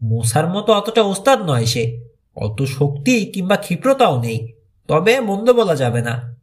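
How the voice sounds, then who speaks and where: A man's voice: sits high, big pitch swings, a little slow. A man reading a mystery thriller audiobook as a dramatic scripted narration.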